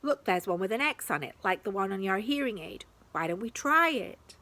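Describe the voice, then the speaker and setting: A woman's voice: mid-range voice, animated, moderate pace, pushed and bright. A woman voicing Sasha, a curious and impulsive young boy in a storybook reading. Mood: eager.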